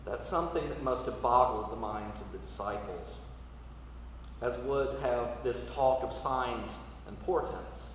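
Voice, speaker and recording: A man preaching a sermon as a priest, in a measured, rhetorical delivery that swells with emphasis and drops to quiet. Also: medium pitch. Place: large church interior, live service. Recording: echoey church, distant mic, some noise.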